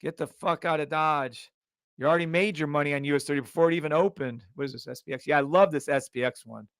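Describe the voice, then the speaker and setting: A middle-aged man runs a live trading stream, barking out orders in short bursts and swearing freely. His voice is gravelly but smooth and resonant. The sound is dry and close, with no room echo. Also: fairly high pitch, fast talker. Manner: brash, profane, calls out orders in bursts. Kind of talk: live trading commentary with viewers.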